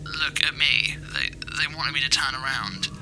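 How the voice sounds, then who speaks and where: A man's voice: medium pitch, a little slow, noisy background, close to an everyday voice. An actor playing a casual, spooked local man, insistently recounting a ghost encounter in a rambling, colloquial way for an audio drama interview. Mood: uneasy, insistent.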